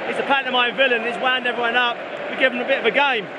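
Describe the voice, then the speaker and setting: Very high-voiced male fan, noisy crowd. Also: flat delivery, very fast. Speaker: football fan. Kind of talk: casual chat and shouted encouragement. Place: outdoor football stadium stands. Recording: very loud crowd, noisy outdoor stadium.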